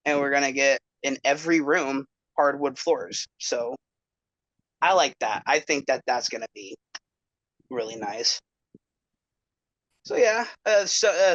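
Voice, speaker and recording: A man's voice: on the high side, fairly bright tone, no echo, dull audio. A man from Northern California chatting on a podcast, rambling with lots of fillers and restarts. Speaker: podcast co-host. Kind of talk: casual chat.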